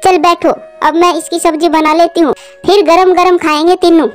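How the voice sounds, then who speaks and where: Actress doing a cartoon mama bird, caring, careworn, high voice, soft music underneath. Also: female voice, flat delivery, normal speed. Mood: tender. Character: caring, poor, worried mother bird.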